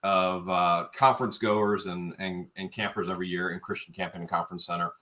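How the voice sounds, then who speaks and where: A man with a lowish voice and a bright, forceful edge tells a story in a relaxed chat. He is animated and jokey, acting out what people said. His voice sounds slightly worn from overuse. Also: brisk. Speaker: co-host of a livestream.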